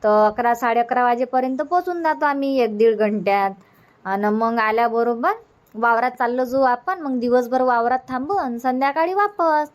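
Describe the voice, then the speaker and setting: A woman plays a village mother from a cartoon comedy, with a fairly high voice and a Varhadi Marathi accent. She chats in a homely, practical way, repeating herself as she agrees and organises. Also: moderate pace, close to an everyday voice. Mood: chatty, explaining. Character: chatty, practical village mother, middle-aged or older, organising an outing.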